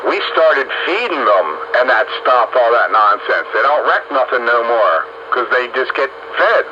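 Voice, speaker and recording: Folksy middle-aged or older man, mid-pitched, casual radio chatter, dull band-limited sound with clear static. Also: animated, moderate pace, sharp tone. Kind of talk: casual chat. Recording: muffled, noisy two-way radio transmission.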